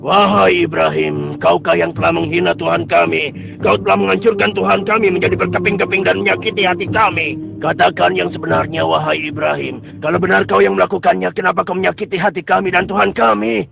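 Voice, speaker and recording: Gravelly, fast-talking idol worshipper, angry and accusing, over music. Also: male voice, high-pitched, poor audio. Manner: demanding, accusing. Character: angry idol-worshipping Babylonian man, grown. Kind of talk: dubbed cartoon acting.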